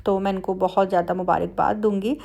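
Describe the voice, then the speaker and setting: A woman's voice: medium pitch, level tone, fairly quick, vocal fry, a little mellow. A woman with a Pakistani Punjabi accent talking in a chatty, conversational way, as in a casual family vlog voice-over. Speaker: family vlogger.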